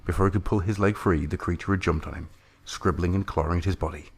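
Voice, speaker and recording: A man's voice: low voice, steady tone, quick pace, vocal fry, slightly rough. A man reading a science-fiction horror story aloud as a narrator, in a grave, theatrical way.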